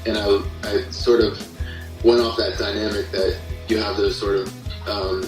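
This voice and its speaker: A man chatting casually as an interview guest, explaining his creative work in a halting but enthusiastic way. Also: fairly low pitch, level tone. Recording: roomy, distant mic, faint music.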